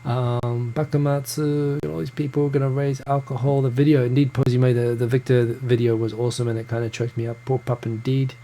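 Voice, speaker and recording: Gravelly New Zealand man, live chat. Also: medium pitch, level tone, fairly quick, hardly any pauses, dark tone. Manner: chatty, stumbling restarts, greets viewers by name. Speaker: live show host reading viewer comments. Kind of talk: casual live-stream chat. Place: home room in Tokyo.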